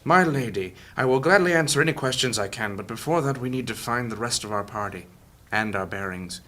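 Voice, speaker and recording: An elderly fantasy mage acted by a man, courteous and formal in an audio drama. His voice is bright and forceful at an ordinary male pitch. He argues reasonably, as the peacemaker of a party. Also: animated, a little fast. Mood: courteous, weary.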